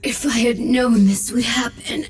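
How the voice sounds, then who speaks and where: A woman's voice: a little high, measured pace, lightly acted. A woman voicing a seductive, sadistic female monster in a video game, playfully cruel and theatrical. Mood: gloating, thrilled. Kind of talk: video game villain acting. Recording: clear background noise.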